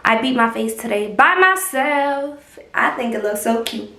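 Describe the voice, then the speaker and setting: A young woman in her twenties from New York chats frankly and slangily straight to her viewers. Her voice is high and squeaky, with a sharp, bright edge. It rings with the echo of a bare room. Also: lively intonation, a little slow. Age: 20s. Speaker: vlogger. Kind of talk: casual talk to camera.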